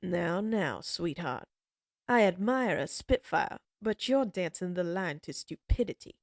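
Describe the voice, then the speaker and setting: A woman playing a menacing female outlaw leader who taunts her captives in a slow, mocking drawl. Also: fairly low pitch, expressive, no echo, studio quality. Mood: taunting. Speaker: voice actress. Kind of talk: audio roleplay acting.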